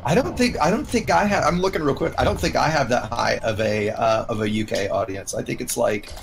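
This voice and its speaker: A man's voice: medium pitch, brisk, vocal fry, crowd noise. A man from the United States chatting casually as a live streamer while playing a game. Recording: noisy gaming voice chat.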